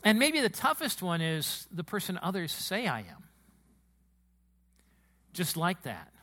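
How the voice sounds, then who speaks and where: A man preaching a sermon to his church congregation, with a booming voice and an emotional, excitable delivery. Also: a little slow. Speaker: pastor. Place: church sanctuary.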